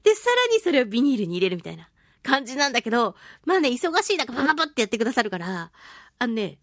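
A woman hosts a radio talk show on her own in a high, very animated voice. She tells stories casually and playfully, with a giggly manner and sound-effect words. The recording is close and dry. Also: racing, dry recording. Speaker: radio show host, voice actress. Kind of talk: casual solo talk show chat, storytelling.

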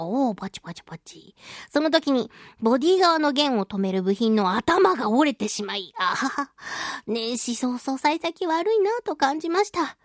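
A woman with a high, girlish pitch hosts a radio talk show. Her intonation bounces widely as she chats playfully and throws in expressive exclamations. The sound is dry, with no room echo. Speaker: radio show host, voice actress. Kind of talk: chatty solo radio talk, reading and answering listener mail.

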